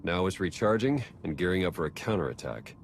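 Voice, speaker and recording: Wry spy, deep voice, lively intonation, sardonic, informal, skeptical, video game cutscene. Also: male voice, fairly quick, slight background noise. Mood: wry, concerned. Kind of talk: cinematic video game voice acting.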